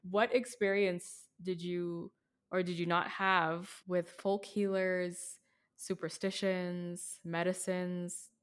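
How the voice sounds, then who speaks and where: A woman hosting a casual interview, relaxed and encouraging, asking open questions. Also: on the low side, slow, clean tone. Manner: relaxed, encouraging, many backchannels. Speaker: host.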